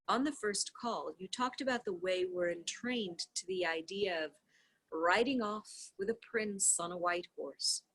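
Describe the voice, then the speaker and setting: A woman's voice: a little high, a bit bright, slightly rough, rough recording. A woman reading a conversational self-help book aloud, voicing its questions and answers with expression. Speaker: reader of a book read-along.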